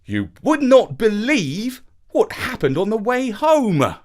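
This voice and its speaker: A man's voice: high voice, huge pitch swings, takes it slowly, a little mellow, voice pushed up, exaggerated voice. A man voicing a hot, exasperated father in a children's story, complaining emphatically. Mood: angry complaining.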